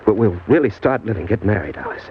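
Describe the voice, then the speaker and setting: Radio noir lead: man playing hard-boiled, broke drifter, very low voice, wry and slangy, muffled old broadcast sound. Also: lively intonation, fast talker, a little hiss or hum, natural voice. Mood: smitten, pleading. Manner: tough, slangy, wisecracking. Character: hard-boiled broke drifter narrating his crime story. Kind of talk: old-time radio crime drama acting. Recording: dull old radio recording with music.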